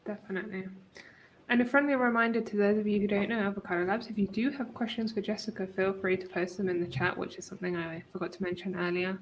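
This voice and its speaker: A woman's voice: medium pitch, fairly even intonation, quick pace, a little hiss or hum, poor audio. A woman hosting a livestream interview over a video call, speaking in a friendly way with plenty of fillers. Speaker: host.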